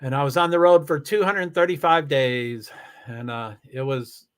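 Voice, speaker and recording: A man with a somewhat high voice chats loosely to a live-stream audience. He rambles, restarts his sentences and throws in lots of exclamations. His energy stays animated throughout. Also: normal speed, clean tone. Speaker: live streamer reading chat. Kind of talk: casual live-stream chat. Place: home in Washington State.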